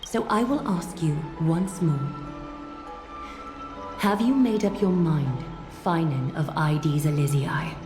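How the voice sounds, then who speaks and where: A woman's voice: sits low, slow. An actress playing a regal, composed leader, stately and formal yet motherly, in a video game drama. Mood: measured, probing. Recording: echoey, over background music.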